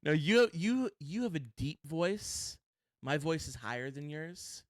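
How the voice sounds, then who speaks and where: A young man co-hosts a podcast in loud, chatty banter. His higher voice swings widely in pitch, and the recording is dry and close. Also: sing-song, unhurried, dry recording. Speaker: podcast co-host. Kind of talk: casual chat.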